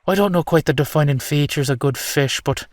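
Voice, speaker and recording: Audio roleplay: whimsical, vain, ancient fish-turned-man, male actor. Chatty mythic storyteller, lightly raised pitch, dry close sound. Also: fairly quick, no room sound, natural voice. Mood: playfully indignant. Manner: chatty, colloquial, playfully indignant. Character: whimsical, boastful ancient salmon-man, storyteller of Irish myth.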